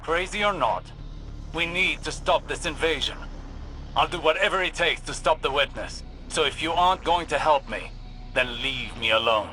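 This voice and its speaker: A man's voice: on the high side, moderate pace, bright, forceful tone, slight background noise, low-quality recording. A man voicing a stubborn, driven warlock leader in a sci-fi video game, commanding, clipped and formal. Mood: determined.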